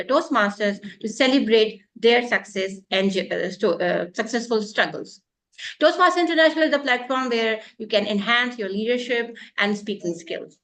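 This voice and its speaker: Woman, talk show host, somewhat high voice, formal interview presenting. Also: fairly bright tone. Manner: addresses guest formally as sir. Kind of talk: live interview show hosting.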